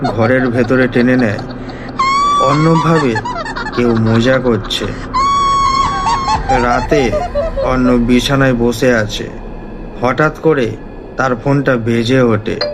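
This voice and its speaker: Slow-paced male horror storyteller over music. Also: medium pitch, steady tone.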